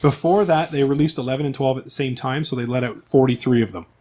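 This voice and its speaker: A man's voice: mid-range voice, fast, warm tone. A man acting as game master, explaining the game in a casual, joking, conversational way. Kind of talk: casual tabletop role-playing narration and explanation.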